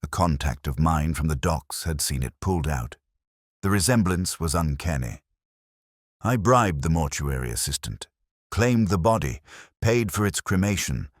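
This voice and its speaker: A man voicing a cornered impostor in a detective audiobook, confessing defensively in terse, halting phrases. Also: very deep voice, lively intonation, no room sound, studio quality. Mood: defensive confession.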